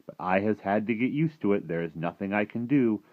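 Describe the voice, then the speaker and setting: A man voicing a huge, gentle, gloomy giant who talks in muddled grammar and invented words for a children's storybook. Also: lowish voice, expressive, a little fast, no room sound, phone-quality sound, voice pushed up, close to an everyday voice. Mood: sad, resigned. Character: huge, gentle, gloomy friendly giant with garbled rustic speech. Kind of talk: animated children's storybook character reading.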